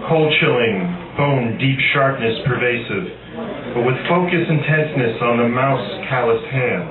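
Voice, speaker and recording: A man's voice: medium pitch, measured pace. Tinny, echoey man reading poetry aloud. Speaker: amateur poet reading own work at a poetry night. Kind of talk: live poetry reading with informal asides to the audience. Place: busy cafe open-mic room. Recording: tinny phone-like sound, distant, echoey, loud crowd. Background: loud audience chatter.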